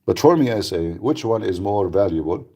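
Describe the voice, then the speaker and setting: A man hosts live radio talk in a blunt, emphatic way, often repeating himself and restarting sentences. His voice sits very low and has a gravelly, husky edge. The tone is dark and mellow. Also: animated, normal speed. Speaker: radio show host.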